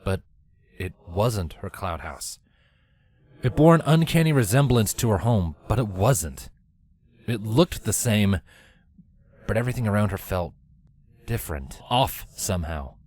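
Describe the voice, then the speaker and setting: A man's voice: lowish voice, big pitch swings, measured pace, long pauses, darkish tone. A man reading scripted story narration as the narrator of a fan fiction.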